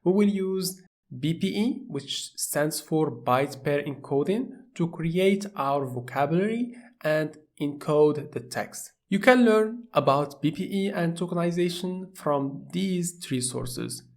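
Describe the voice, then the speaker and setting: A man's voice: a little high, slow pace. Man explaining a coding course. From Morocco. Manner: plain, steady explaining, with small restarts. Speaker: course instructor. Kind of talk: scripted tutorial narration.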